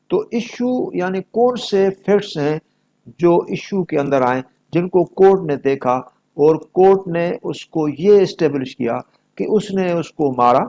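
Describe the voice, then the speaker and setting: A male lecturer with a Pakistani accent speaks in a fairly high voice at a very slow pace. He explains step by step in a didactic, emphatic way, moving between reading aloud and explaining, and repeats important phrases. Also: a little mellow. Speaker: law lecturer.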